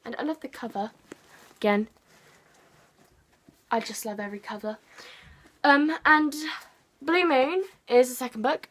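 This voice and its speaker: An English woman with an English accent chatting to camera about books in a rambling, playful, self-deprecating way. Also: a little high. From England. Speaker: book vlogger. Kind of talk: casual chat to camera.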